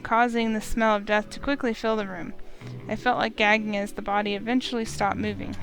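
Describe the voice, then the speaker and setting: A woman reads a visual novel aloud in first person, steady and expressive, with the odd stumble. Her voice sits fairly high. Soft game music plays behind her. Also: rough recording. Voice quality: steady, expressive reading with small slips. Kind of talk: first-person story narration.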